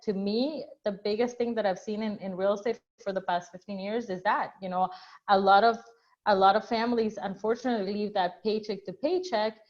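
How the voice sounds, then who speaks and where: A middle-aged woman from Ecuador, a real estate agent, talking earnestly in a webinar conversation with frequent restarts and fillers. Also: mid-range voice. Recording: dull lo-fi webinar audio.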